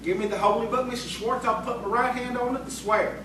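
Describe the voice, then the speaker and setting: A man's voice: very high voice, steady tone, a little fast, fairly bright tone. A man playing a boastful, defensive frontier tavern drunk in an audio play, with a rural American accent and a folksy, insistent, rambling way of talking. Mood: earnest storytelling. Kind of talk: audio theatre acting. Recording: roomy, distant, noisy stage recording.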